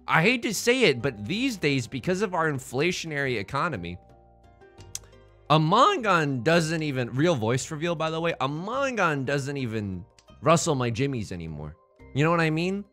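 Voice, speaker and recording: A man's voice: medium pitch, a bit bright. A man from China hosting a let's play, commenting on his own game in a jokey, rambling way with playful internet slang. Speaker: gaming channel host.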